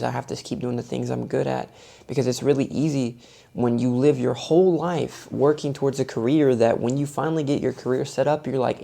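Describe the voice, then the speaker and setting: A man's voice: medium pitch, vocal fry, warm tone. A young man vlogging with a soothing voice, talking through his thoughts in a thoughtful, self-searching way. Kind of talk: reflective personal monologue.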